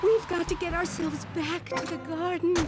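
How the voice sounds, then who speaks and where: High-voiced, airy New Age witch. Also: flat delivery, normal speed. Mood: dreamy, preachy. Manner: airy, earnest, preachy. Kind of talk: satirical radio talk show acting. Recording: game radio under loud car noise.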